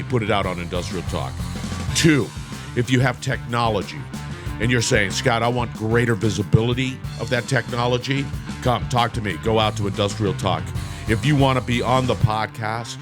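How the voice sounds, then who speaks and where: Raspy male host over a music bed. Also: on the low side. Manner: punchy, promotional. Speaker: podcast host. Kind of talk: solo podcast outro.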